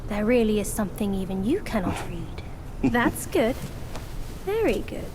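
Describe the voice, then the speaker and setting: A woman's voice: medium pitch, sing-song, a little slow, noisy background. A woman voicing a teasing figure in a game cutscene, probing with pointed questions. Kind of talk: video game cutscene voice acting.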